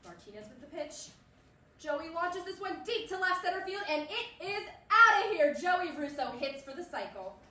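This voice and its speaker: A woman in a staged play reading playing an excitable baseball commentator and pressing news reporter. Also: very high-pitched, normal speed, full character voice. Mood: excited. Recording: echoey hall, distant mic, faint noise.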